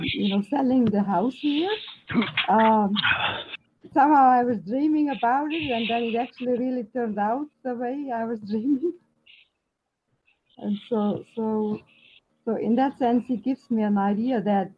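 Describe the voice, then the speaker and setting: A woman from Austria with an Austrian German accent, introducing herself hesitantly in a class, with many restarts. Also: on the high side. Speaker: class participant. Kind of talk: casual spoken introduction. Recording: muffled lo-fi call line with faint noise.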